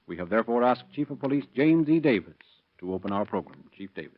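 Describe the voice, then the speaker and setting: A man announcing and narrating in a formal, declamatory 1930s radio style with measured, scripted phrasing. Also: mid-range voice, lively intonation. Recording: dull vintage radio with music.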